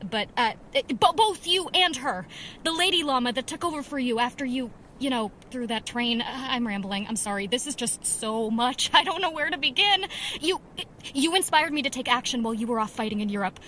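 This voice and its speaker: High, bright, starstruck young vigilante. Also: female voice, lively intonation, fairly quick, a little hiss or hum. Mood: nervous, gushing. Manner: gushing, nervous, rambling. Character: eager, starstruck youthful female vigilante. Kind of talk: pulp radio drama acting.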